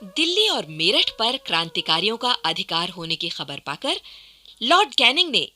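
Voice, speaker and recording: A woman's voice: medium pitch, wildly swooping pitch, moderate pace, sharp tone, faint music behind. A woman delivering formal, dramatic scripted narration for a historical radio play in a declamatory, emphatic manner.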